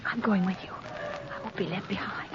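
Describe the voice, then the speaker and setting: A woman's voice: medium pitch, fairly even intonation, moderate pace, natural voice. A woman playing a frightened but loyal lady companion of a sleuth in 1930s radio drama, urgent and anxious. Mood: uneasy. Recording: very noisy, muffled 1930s radio recording.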